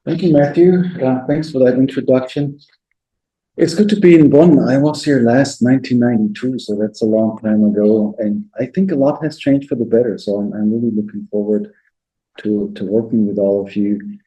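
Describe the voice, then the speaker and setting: A man's voice: mid-range voice, moderate pace, warm tone, rough recording. A man, giving an academic lecture and reading much of it aloud, often repeating words when speaking freely. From southern Germany. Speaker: guest lecturer.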